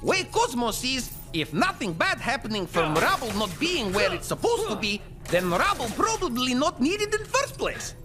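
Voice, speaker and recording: A woman's voice: on the high side, big pitch swings, normal speed. A woman playing an earnest, cheerful space dog in a video game, with a Russian accent and broken English. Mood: upbeat, earnest. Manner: broken English, formal and upbeat. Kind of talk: video game cutscene acting. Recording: music behind the voice.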